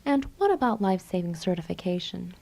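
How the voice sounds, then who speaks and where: Mellow-voiced woman hosting college radio. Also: fairly low pitch, lively intonation, fast talker, vocal fry. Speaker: radio host and interviewer. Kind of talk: scripted college radio interview and announcing.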